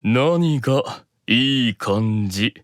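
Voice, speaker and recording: A man plays a proud demon eldest brother from an anime drama. He is cool, stern and deliberate, commanding every line with unhurried composure. His mid-pitched voice moves expressively. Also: animated, fairly bright tone, no room sound, clean studio sound. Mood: suspicious, stern. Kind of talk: anime audio drama acting.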